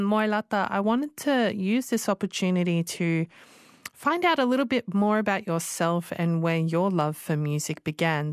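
A woman's voice: mid-range voice, clean tone, no room sound. A woman hosting a casual radio interview in a studio, encouraging and chatty with many fillers. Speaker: radio show host.

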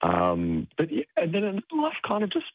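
Brief: young hoarse Australian guy, lively up-and-down delivery, lower voice, muffled lo-fi line. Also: measured pace. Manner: rambling, laughs often, many fillers. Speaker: radio guest. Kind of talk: casual chat.

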